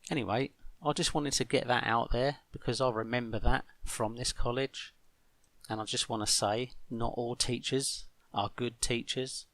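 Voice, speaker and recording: Man, middle-aged, gravelly fry, dry recording, deliberate phrasing, grumbling video monologue. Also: medium pitch, fairly even intonation, fairly bright tone, no echo. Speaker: host of an online video channel. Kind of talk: monologue to camera for a vlog.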